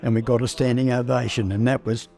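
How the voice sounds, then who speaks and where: A man in his eighties, a choir member, talking in an unhurried, halting way about his memories. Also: mid-range voice, flat delivery, gravelly, a little mellow, faint music behind. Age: 80s. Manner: unhurried, halting with small restarts. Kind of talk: reminiscing interview.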